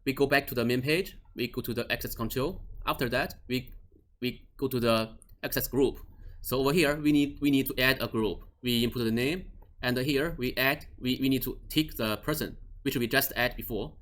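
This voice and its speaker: A man at an ordinary middle pitch walks through a software configuration step by step. He talks plainly and patiently, often restarting sentences and filling pauses with "uh". His phrasing is slightly non-native. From Australia or New Zealand region office. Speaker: product trainer. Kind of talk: screen-recorded software tutorial.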